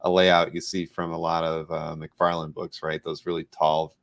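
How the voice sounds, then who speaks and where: A man's voice: very deep voice, completely flat delivery, normal speed, fairly bright tone. A man hosting a casual interview, chatting appreciatively as he comments on artwork. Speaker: show host, interviewer.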